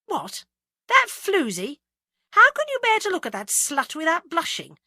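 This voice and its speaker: A woman voicing a brisk, bossy, jealous female amateur sleuth in an audiobook, snappy and assertive. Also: sits high, expressive, a bit bright, no room sound, clean studio sound, voice pushed up. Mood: indignant, snappy. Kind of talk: audiobook character acting.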